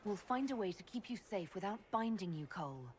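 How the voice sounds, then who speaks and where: A woman's voice: mid-range voice, lively intonation, brisk, a little mellow, slight background noise, lightly acted. An actress playing a direct female leader who speaks firmly. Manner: direct, firm.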